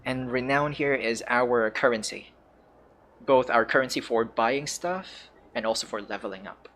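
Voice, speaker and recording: A man's voice: medium pitch, moderate pace, fairly bright tone. A man with a Filipino accent giving casual game commentary as a streamer, hesitant and searching for words. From Philippines. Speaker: streamer and English teacher.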